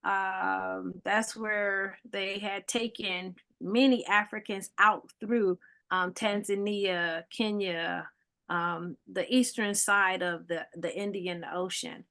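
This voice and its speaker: A woman's voice: medium pitch, steady tone, slow, vocal fry, a bit bright. A woman, guest and foundation director, explaining history in an interview with frequent ums and tag questions. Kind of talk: interview answers.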